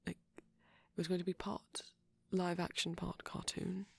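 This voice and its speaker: A man playing a shy, gentle vampire boyfriend in intimate ASMR roleplay, speaking softly and hesitantly, often close to a whisper. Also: high-pitched, completely flat delivery, unhurried, exaggerated voice. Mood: softly enthusiastic, hesitant. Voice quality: soft, breathy, husky, often near a whisper. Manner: hesitant, bashful, rambling tenderly.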